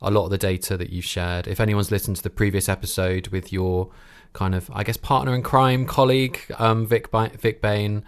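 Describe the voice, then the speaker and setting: A man's voice: on the low side, fairly even intonation, moderate pace, few pauses, clean tone, dry recording, studio quality. A man hosting a podcast in an informal, conversational way, thinking aloud as he introduces and interviews.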